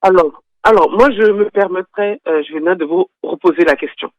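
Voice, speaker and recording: A woman guest on a radio talk show, speaking haltingly with repeated words, then arguing her point firmly. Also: on the low side, no echo, dull audio.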